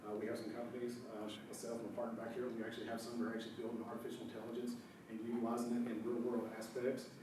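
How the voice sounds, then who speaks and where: Brief: hoarse male speaker, quick rambling delivery, distant mic in a big echoing room with background noise. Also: medium pitch, completely flat delivery. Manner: rambling, many fillers and self-corrections. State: hoarse from a cold. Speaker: company founder giving a talk. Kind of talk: casual conference talk. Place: large echoey lecture room.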